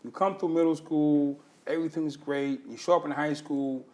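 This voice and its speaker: A man's voice: fairly high pitch, fairly even intonation, normal speed, dark tone. A man hosting an interview, asking probing questions and echoing the guest's answers back. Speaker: interview host. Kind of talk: conversational interview.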